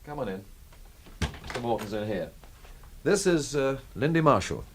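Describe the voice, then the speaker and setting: A man's voice: mid-range voice, very animated, normal speed, a little hiss or hum. An actor playing a cool, wry man in a radio drama, with a dry, understated and unruffled delivery. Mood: wry, easygoing.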